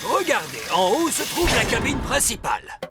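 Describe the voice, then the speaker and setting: A man's voice: very high-pitched, wildly swooping pitch, moderate pace, over loud music, heavily acted. A man voicing a wise old male elf in a cartoon, stern and proud, with an emphatic, officious delivery. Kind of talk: French cartoon dub acting.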